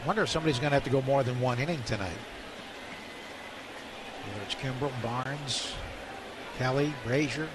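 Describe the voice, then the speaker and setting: A man's voice: mid-range voice, brisk, pauses often. A man giving live baseball commentary and game recaps as a radio broadcaster. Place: stadium broadcast booth. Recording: steady stadium noise behind voice. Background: ballpark ambience.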